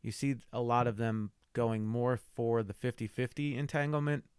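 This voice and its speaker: A man's voice: mid-range voice, fairly even intonation, moderate pace, a little mellow, clean tone, no echo. A man co-hosting a podcast in casual chat, swearing casually and teasing, recorded on a close mic. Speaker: podcast co-host.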